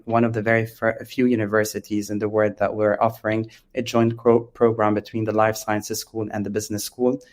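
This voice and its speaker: The Lebanese-accented male founder you would hear as a guest on an industry interview, hesitant, low and level in pitch. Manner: hesitant, halting, with frequent long ums. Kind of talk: explanatory interview answers.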